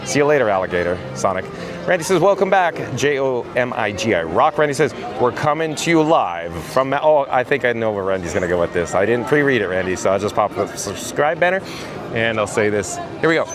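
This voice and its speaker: Hoarse, raspy man vlogging live. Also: medium pitch. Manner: chatty, reads viewer comments aloud. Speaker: livestream host. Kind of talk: live theme park vlog reading chat. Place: outdoors at a theme park.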